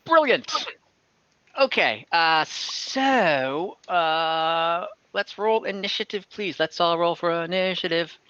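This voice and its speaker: A man narrating as dungeon master in an online tabletop game, relaxed and chatty with many fillers. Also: a little high, slow, clear, resonant tone, no room sound. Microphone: computer mic on a video call. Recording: muffled online call audio.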